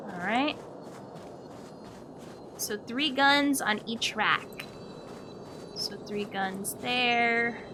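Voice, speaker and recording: A woman's voice: on the high side, drawn-out words, long pauses, background noise. A woman doing casual, playful live game commentary as a streamer, given to exclaimed reactions.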